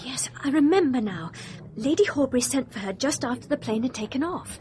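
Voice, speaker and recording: A woman's voice: sits high, expressive, brisk, a little mellow, slight background noise. An actress playing an eager, questioning young woman who assists a detective in a radio drama. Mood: attentive, concerned.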